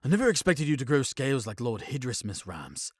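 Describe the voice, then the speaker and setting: An actor playing a suspicious, hot-tempered knight commander who argues sharply in an audio drama. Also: male voice, medium pitch, sing-song, fairly quick, husky, no room sound. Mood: cold. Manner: indignant, sharp, confrontational.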